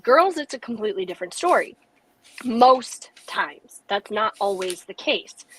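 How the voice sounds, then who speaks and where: A woman's voice: mid-range voice, animated, a little slow, a bit bright, slightly rough, poor audio. A woman, a parent, offering frank, offhand opinions on a podcast panel. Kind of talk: casual podcast panel talk.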